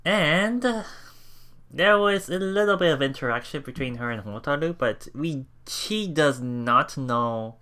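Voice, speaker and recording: A man hosting a let's play, reading game text aloud and commenting casually in a halting, think-aloud way. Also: fairly high pitch, lively intonation, pushed and bright. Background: game music.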